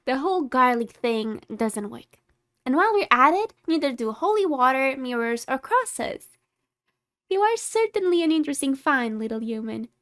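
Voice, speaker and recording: A woman's voice: sits high, big pitch swings, moderate pace, a bit bright. A woman playing a haughty, secretly caring tsundere vampire in a scripted ASMR roleplay, condescending and easily exasperated. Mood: smug, mocking. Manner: condescending, exasperated, flustered scolding with sighs.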